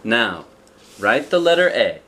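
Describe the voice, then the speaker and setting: An encouraging woman schoolteacher from a children's storybook, read out by a man, praises and instructs with emphasis. The delivery is unhurried and lively, over some background noise. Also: medium pitch.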